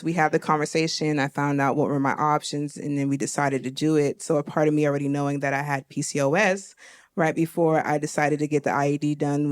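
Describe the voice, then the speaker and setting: A woman's voice: deep voice, clear, resonant tone. A young woman from Miami hosting a live stage conversation, talking candidly and laughing easily. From Miami, Florida. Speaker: host. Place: live event stage before an audience.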